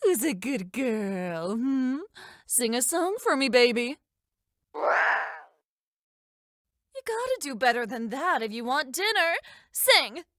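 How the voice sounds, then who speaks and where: A woman playing a brash, playful young dragon tamer in an animated fandub, cheeky and expressive in her delivery. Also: sits high, slow pace, pauses often, dry recording, clean studio sound, full character voice. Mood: cooing, coaxing. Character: brash, playful young dragon-tending big sister in fantasy. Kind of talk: animated fantasy fandub acting.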